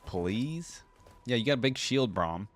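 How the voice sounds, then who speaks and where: A man's voice: medium pitch, animated, fairly quick, soft background music. A man hosting a reaction video with casual, conversational commentary.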